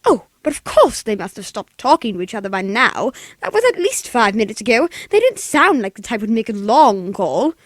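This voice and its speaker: A man's voice: high-pitched, very animated, moderate pace, hardly any pauses, no room sound, studio quality. A man voicing a demanding, hysterical wealthy invalid woman in an old radio play, imperious and exasperated. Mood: agitated. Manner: imperious, exasperated, breathless urgency. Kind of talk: 1940s radio play acting.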